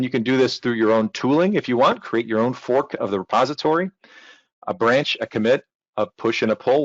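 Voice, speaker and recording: A man's voice: medium pitch, dry recording. Man presenting a tutorial, dull dry sound. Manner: casual, reassuring, conversational. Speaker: technical documentation team member, presenter.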